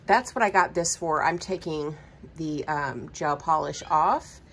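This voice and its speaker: A middle-aged woman with a Southern drawl talks her way through a shopping haul in a rambling, chatty manner, full of ums. Her voice sits a little low. Faint hiss hangs under the kitchen recording. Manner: chatty, rambling, many ums, baby talk to her cat. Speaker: budgeting vlogger. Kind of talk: casual haul narration. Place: home kitchen.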